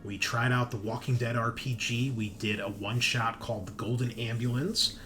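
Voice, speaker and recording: A man's voice: medium pitch, steady tone, moderate pace, over music. A man speaking casually and informally as game master and host, making announcements to listeners. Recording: noticeable background noise.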